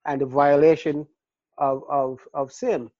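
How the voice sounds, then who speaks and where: A man's voice: a little high, little pitch movement, a little slow, warm tone, dry recording. Older barbadian guy being interviewed, earnest, keeps repeating words, dull dry recording. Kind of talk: casual interview.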